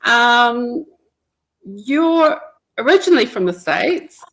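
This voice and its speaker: A woman hosting a casual interview over a video call, friendly and quick to laugh along. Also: on the high side, expressive, a bit bright, poor audio. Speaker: interviewer, host.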